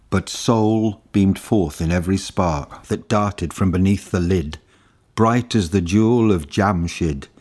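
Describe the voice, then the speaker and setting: A man reading a long narrative poem aloud in a measured, deliberate recitation. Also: sits low, a little mellow. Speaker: reader. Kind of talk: poetry reading.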